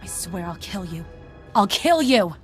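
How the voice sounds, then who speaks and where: Scrappy woman outlaw, mid-pitched voice. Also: big pitch swings, a little slow. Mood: betrayed, furious. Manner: colloquial, wry, clipped phrasing. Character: scrappy, street-smart woman outlaw, wary and defiant. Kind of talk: video game voice acting. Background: music.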